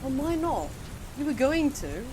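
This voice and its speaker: Woman acting as blunt young female sidekick, stubborn, hot-tempered, audio drama, loud rain noise behind. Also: sits high, sing-song, measured pace. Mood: pressing. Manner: blunt, challenging, insistent.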